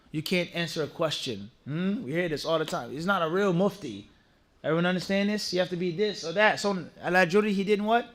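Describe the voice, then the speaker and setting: A man giving an Islamic lecture to a congregation, teaching point by point in an emphatic way, with rhetorical questions and repeated phrases. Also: sits high. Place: mosque, speaking to a live audience.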